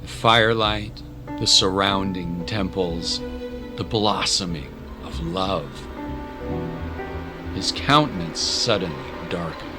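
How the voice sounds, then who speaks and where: Guy narrating an audio drama, really unhurried and serious, loud score behind him. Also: low voice. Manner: measured, solemn storytelling. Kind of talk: dramatic scripted story narration. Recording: dramatic music bed. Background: music.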